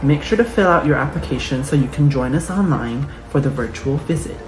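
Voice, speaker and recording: A man's voice: fairly high pitch, lively intonation, a little fast. A man reading a scripted promotional announcement as an announcer. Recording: roomy echo with music underneath.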